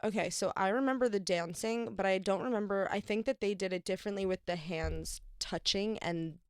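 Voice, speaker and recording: A woman's voice: medium pitch, fairly quick, a little mellow, clean tone, no echo, clean studio sound. A young woman hosting a podcast, talking casually and animatedly with lots of fillers. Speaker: podcast host. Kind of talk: casual chat.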